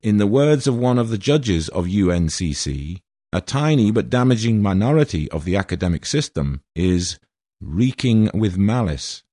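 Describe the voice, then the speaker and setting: Older man from Wales, formal, aggrieved, grandiose, lowish voice, dry close sound. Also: sing-song, moderate pace, mellow, no echo. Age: 60s. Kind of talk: prepared statement read aloud.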